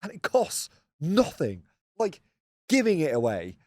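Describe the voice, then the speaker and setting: A man co-hosting a podcast, telling stories in relaxed, slangy chat that often trails off softly. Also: on the high side, a little slow, no echo, studio quality. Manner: laid-back, slangy storytelling, often trailing off quietly. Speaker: podcast co-host. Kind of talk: casual chat.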